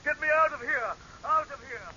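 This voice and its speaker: An actor playing a pompous, tyrannical dictator in 1930s radio horror drama style, haughty and imperious. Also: male voice, very high voice, normal speed, sharp tone, exaggerated voice. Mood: frantic, desperate. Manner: imperious, haughty, barking orders. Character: pompous tyrannical male dictator, commanding, scornful, cracking into panic. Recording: hissy, dull 1930s radio recording.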